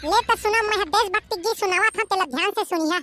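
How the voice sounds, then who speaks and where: Someone voicing a squeaky cheeky village schoolboy. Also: quick pace. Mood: singing. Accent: Chhattisgarhi. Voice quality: squeaky, very high cartoon voice. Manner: brash and mischievous, talks back to the teacher. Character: cheeky village schoolboy who sings silly songs. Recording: cartoon voice over music. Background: music.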